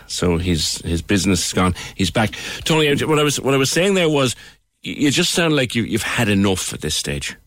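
An Irish man with a low-pitched voice presents a current affairs phone-in. The sound is dry, with no room around it. He chats and probes, doubling back on phrases to stress a point. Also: a bit bright, no room sound. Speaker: radio phone-in host.

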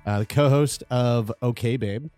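A man's voice: mid-range voice, moderate pace, warm tone, soft background music. A man of thirty-five hosting a podcast, talking casually with lots of restarts and casual swearing. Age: 30s. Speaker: podcast host. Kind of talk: casual chat.

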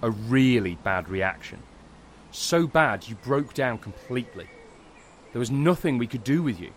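An actor playing a burdened, middle-aged father in an audio drama, speaking earnestly and haltingly. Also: medium pitch, animated, slight background noise, close to an everyday voice. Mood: pained, confessing. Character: protective, burdened father of a teenage girl.

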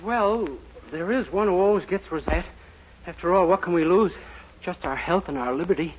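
Man acting high-voiced eager young American radio comic. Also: normal speed, natural voice. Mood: anxious. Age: young adult. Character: eager, naive young man, nervous schemer, mock screen lover. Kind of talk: 1940s radio comedy acting. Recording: noisy, muffled 1940s radio recording.

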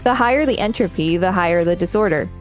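A woman's voice: lowish voice, lively intonation, fast, faint music behind. A woman co-hosting a podcast, reading an encyclopedia article aloud with casual commentary. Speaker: podcast co-host.

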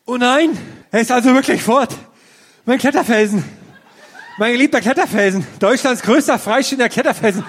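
A man's voice: very high voice, a little fast, husky. A man playing a melodramatic, plaintive boy detective in a comedic audio play. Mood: heartbroken. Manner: plaintive, exaggerated.

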